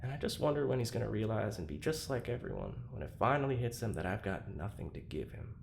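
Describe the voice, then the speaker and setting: Audio drama prisoner: self-loathing test subject, halting, gravelly, swinging widely in pitch. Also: male voice, big pitch swings, background noise. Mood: anguished. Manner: halting, apologetic, self-deprecating. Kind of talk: confessional audio drama monologue.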